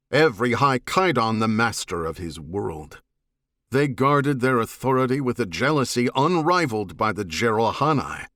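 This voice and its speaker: A male storyteller reads science fiction prose with serious, dramatic weight. His voice sits fairly low and his phrasing is measured, captured in a very dry studio sound. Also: a bit bright, no echo. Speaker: audiobook narrator. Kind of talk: scripted narration of science fiction novel.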